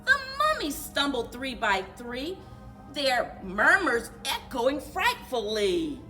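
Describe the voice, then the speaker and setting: A woman reading a children's storybook aloud in an animated, rhythmic singsong for a library story time. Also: high voice, takes it slowly, faint music behind. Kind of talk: children's storybook read-aloud with chanted refrains.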